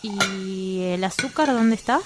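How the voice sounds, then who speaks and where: A woman's voice: mid-range voice, slow pace, background noise, close to an everyday voice. A woman with an Argentine accent playing a prim, sensible young woman in a radio drama, earnest and questioning. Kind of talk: scripted radio drama acting.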